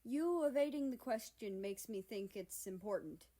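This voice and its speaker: A woman's voice: on the high side, animated, moderate pace, mellow. A woman voicing an exasperated young male university student in a storybook, pleading and indignant with a groaning, whiny manner. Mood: probing.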